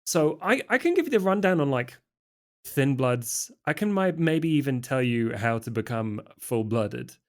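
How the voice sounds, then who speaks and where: A man playing an awkward, guarded young vampire drug dealer, speaking casually and haltingly in an improvised roleplay game. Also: medium pitch, lively intonation, close to an everyday voice. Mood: negotiating. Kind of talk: improvised in-character roleplay dialogue.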